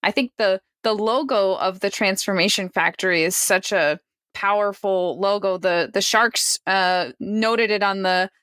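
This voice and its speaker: A woman hosts a podcast interview, encouraging her guest with frequent "wow"s. Her voice sits at a middle pitch for a woman. The sound is dry and close. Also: a little slow, fairly bright tone, smooth, no room sound. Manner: encouraging, many "wow"s, some restarts. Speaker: podcast host.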